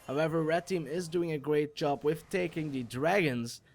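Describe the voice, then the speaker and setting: A young man from Belgium, an English speaker, doing jokey solo commentary on a game replay and getting loud on exciting plays. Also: a little high, normal speed, a little hiss or hum. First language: not English. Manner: jokey, self-mocking, rises sharply on exciting plays. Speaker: esports shoutcaster.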